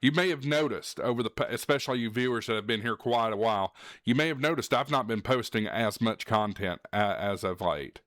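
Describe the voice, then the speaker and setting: Male channel host, earnest, plain-spoken advice vlog, mid pitch, dry recording. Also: a little fast, a bit bright, dry recording. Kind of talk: candid advice vlog to viewers.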